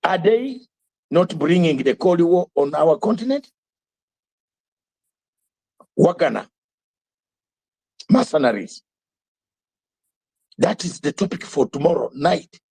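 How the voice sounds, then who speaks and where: An older man with a high, husky voice drives his live talk show with fiery commentary. He repeats himself for emphasis and throws out rhetorical questions one after another. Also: very animated, slow pace, pauses often, mellow.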